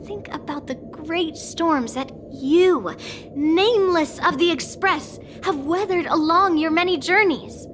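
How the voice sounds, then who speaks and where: A woman's voice: sits high, in a large hall, noisy background. An actress playing a confident young female sword master mentoring her pupil in a video game. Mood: encouraging. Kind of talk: video game character voice acting. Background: game music.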